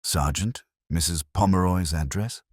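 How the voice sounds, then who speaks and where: A man voicing a mild, courteous police inspector in a mystery story, calm, measured and politely formal. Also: deep voice, dry recording, clean studio sound. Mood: brisk, composed. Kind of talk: audio mystery story dialogue.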